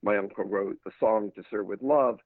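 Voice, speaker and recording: A man's voice: fairly low pitch, moderate pace, no room sound. A man with an American accent, a talent manager, giving thoughtful answers in a casual interview with frequent restarts. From England. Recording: dry, tinny phone-like line.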